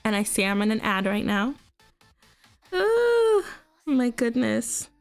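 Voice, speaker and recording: A woman's voice: fairly high pitch, sing-song, takes it slowly. Congested young woman streamer, chatty. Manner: chatty, rambling, giggly, lots of fillers. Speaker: VTuber streamer. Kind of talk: casual livestream chat. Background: faint music.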